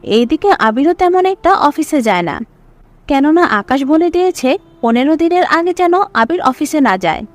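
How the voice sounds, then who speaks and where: A woman's voice: high-pitched, sing-song, moderate pace. A woman reading scripted narration for a serial story.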